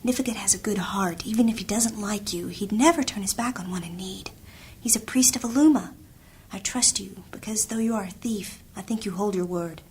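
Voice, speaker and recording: An actress playing a gentle, earnest young princess who is a healer, speaking softly and urgently in a fantasy audio drama. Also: female voice, medium pitch, brisk, a little hiss or hum, close to an everyday voice. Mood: pleading whisper. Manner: soft, urgent, often hushed.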